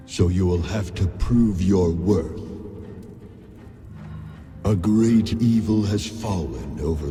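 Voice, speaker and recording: A solemn ancient protector spirit, speaks with formal gravity in a fantasy game. The voice is very low and deliberate, and music plays clearly behind it. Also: male voice, mellow. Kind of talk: fantasy game cutscene acting.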